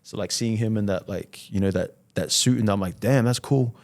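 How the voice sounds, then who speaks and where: A man's voice: lowish voice, moderate pace, darkish tone, no room sound. A man from Fiji chatting casually as an interview guest, in slangy, informal speech full of "man" and "you know". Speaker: podcast guest, entrepreneur. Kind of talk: casual chat.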